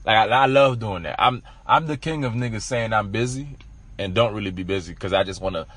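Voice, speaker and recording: A man's voice: on the low side, brisk, a bit bright, dry recording. A man co-hosting a podcast, talking in a blunt, candid, slangy way. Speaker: podcast co-host. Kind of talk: casual chat.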